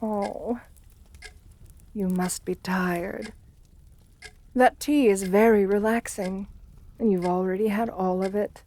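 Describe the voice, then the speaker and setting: A woman playing an assertive, seductive and menacing aristocratic countess in an ASMR roleplay, speaking softly with coaxing, condescending endearments. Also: medium pitch, fairly even intonation, takes it slowly, long pauses. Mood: soothing, coaxing.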